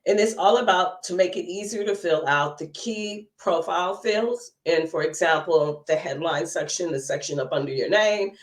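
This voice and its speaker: A woman with a hoarse, cold-roughened voice hosting a live news overview and chatting with viewers. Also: lowish voice, normal speed, few pauses, reverberant. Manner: chatty, greets viewers by name. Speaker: host.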